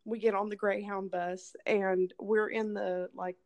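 A hoarse woman, casually telling a rambling, profane story as podcast host. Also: medium pitch, little pitch movement, unhurried, darkish tone. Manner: rambling, swearing, laughing storyteller. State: hoarse, losing her voice from illness.

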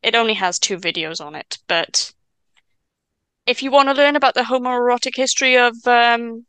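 A woman's voice: a little high, a bit bright, clear, resonant tone, dry recording. A Welsh-speaking woman in her twenties, a podcast guest chatting casually with frequent stammers and restarts from a stutter. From Wales. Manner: chatty, quick asides and laughs. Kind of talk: casual chat.

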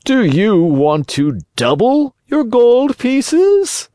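A sly storybook fox, pitched high and very slow. The words are drawn out and wheedling, with a false courtesy and big swoops in pitch. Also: male voice, very animated, dark tone, dry recording, heavily acted.

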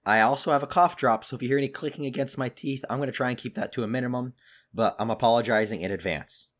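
A young man co-hosting a pop culture podcast while sick, his voice deeper than usual, talking quickly and eagerly. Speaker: podcast co-host. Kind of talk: casual chat.

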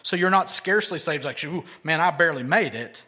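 Bright-voiced male pastor preaching. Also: lively intonation, fairly quick. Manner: dynamic preaching, swings from loud emphasis to soft low asides. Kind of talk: expository sermon.

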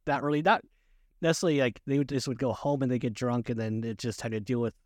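A man's voice: mid-range voice, fairly even intonation, fast talker, darkish tone, dry recording. A man co-hosting a casual chat about films, often chuckling as he talks. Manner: chuckles often while talking. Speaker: podcast co-host.

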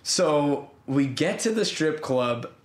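A man's voice: on the high side, expressive, slow pace, reverberant. An American man telling a long story in casual chat, laughing often and restarting his sentences. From United States. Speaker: podcast co-host telling a story.

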